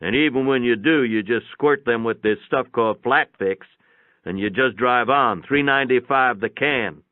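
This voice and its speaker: Man acting a know-it-all brother, raised pitch, pompous lecturing, storybook reading, tinny phone-like sound. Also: moderate pace, no room sound, close to an everyday voice. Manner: lecturing, as if addressing a crowd.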